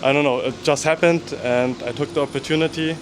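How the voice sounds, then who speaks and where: A man from Germany, answering interview questions in a calm, measured, matter-of-fact way. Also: on the high side, background noise. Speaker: interview guest.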